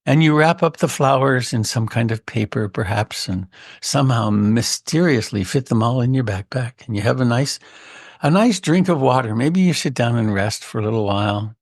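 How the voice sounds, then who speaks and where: A man leading a guided meditation in a gentle, unhurried way with long pauses and a creaky voice. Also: medium pitch, lively intonation, no room sound, clean studio sound. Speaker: meditation teacher.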